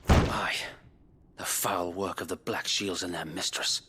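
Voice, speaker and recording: A loyal middle-aged knight, speaks in a lowish voice. His manner is formal and old-fashioned, with the weariness of a seasoned resistance leader. Faint ambient noise sits behind him. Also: fairly even intonation, a little slow, sharp tone. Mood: grim, frank. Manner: formal, archaic speech. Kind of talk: dramatic video game cutscene acting.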